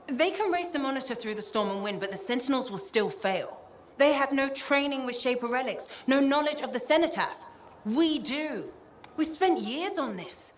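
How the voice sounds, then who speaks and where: A woman's voice: high-pitched, animated, moderate pace, slight background noise. A woman playing a determined, dry expert adventurer in a video game cutscene, speaking firmly and insistently. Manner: firm, insistent.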